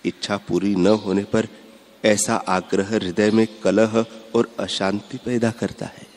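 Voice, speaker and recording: A man giving a measured, instructive spiritual discourse, repeating his points. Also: on the low side, little pitch movement, a little mellow. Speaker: spiritual speaker. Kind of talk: slow devotional discourse. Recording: long hall reverb.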